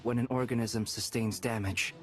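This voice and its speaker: A man playing a calm, scholarly researcher in a video game, explaining in a measured, formal way. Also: mid-range voice, natural voice. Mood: explanatory. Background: game music.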